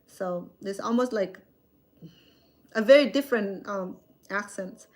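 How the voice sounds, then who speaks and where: A woman's voice: medium pitch, unhurried. Chatty woman in her sixties. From Cambodia. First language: Khmer. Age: 60s. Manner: chatty, rambling, frequent self-corrections. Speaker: chef streaming live. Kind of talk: casual live-stream chat with viewers.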